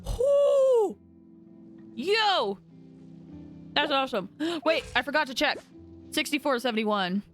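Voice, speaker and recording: A high-voiced woman is livestreaming a video game. She talks casually to her chat in a chatty way with animated reactions, over soft game music. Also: slow pace, long pauses. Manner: chatty, animated reactions, breaks into singing and laughter. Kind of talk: casual live gaming commentary with chat.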